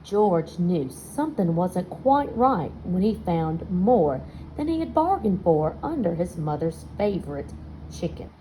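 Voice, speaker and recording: A woman reads a children's picture book aloud at a slow, measured pace. Her voice is soft-edged and mellow, and she tells the story expressively, speaking directly to young listeners. There is faint noise in the recording. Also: fairly low pitch, low-quality recording. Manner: expressive storytelling, pausing to ask listeners questions. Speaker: storybook reader.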